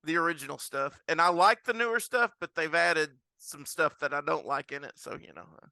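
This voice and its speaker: A man hosting a casual interview, asking questions in a halting way and rephrasing them as he goes. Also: on the high side, gravelly, bright, forceful tone, no room sound. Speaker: podcast host, interviewer.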